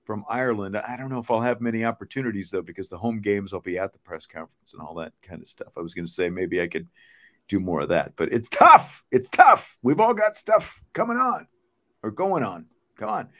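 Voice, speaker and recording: A man's voice: lowish voice, lively intonation, a little fast, fairly bright tone. A man hosting a sports podcast, chatting casually and thinking aloud as he answers viewer comments.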